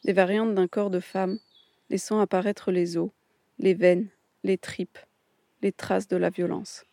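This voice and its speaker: Woman narrator, voice-over, monotone, dark tone, dry sound. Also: mid-range voice, vocal fry, dry recording. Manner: measured, reflective reading. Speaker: documentary narrator.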